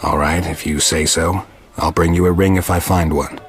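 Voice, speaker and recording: A man voicing a gruff, stoic monster hunter with dry wit and laconic delivery in a fantasy video game. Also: deep voice, moderate pace. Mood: dry, businesslike. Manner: deadpan, laconic. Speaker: player character of a fantasy game.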